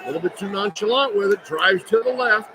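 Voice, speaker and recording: High-pitched male basketball play-by-play announcer, roaring crowd. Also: a little fast. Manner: clipped, urgent short phrases. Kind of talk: live basketball play-by-play commentary. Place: courtside in a large indoor arena. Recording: loud crowd noise behind live radio broadcast. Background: loud arena crowd.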